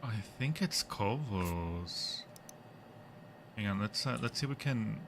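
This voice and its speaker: A man's voice: on the low side, expressive, slow pace, noisy background. A man casually commentating a horror game as a live streamer, joking and muttering to himself in a playful way.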